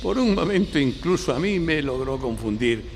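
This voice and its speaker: Celebrated lawyer: theatrical, rhetorical, playful, very animated delivery, recorded live in an echoing hall with faint noise. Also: male voice, on the high side, moderate pace, creaky. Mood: smug, playful. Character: Perry Mason, celebrated male lawyer, theatrical, playful, dog-like. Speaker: actor, narrator of own case. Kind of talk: theatrical courtroom radio drama before an audience. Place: public library hall, live performance. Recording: reverberant library hall, faint background noise.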